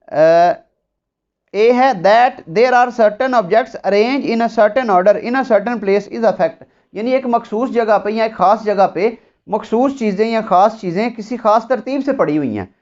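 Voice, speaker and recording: A speaker with a Pakistani accent giving an explanatory law lecture with examples, in a didactic, deliberate way. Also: medium pitch, lively intonation, a little mellow. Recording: dull, lo-fi audio.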